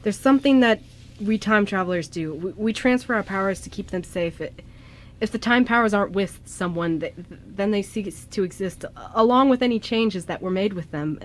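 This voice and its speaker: A woman's voice: medium pitch, normal speed, close to an everyday voice. A woman playing an anxious young male time traveler in an amateur radio drama, stammering and hesitant. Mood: distressed. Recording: noisy amateur radio show recording.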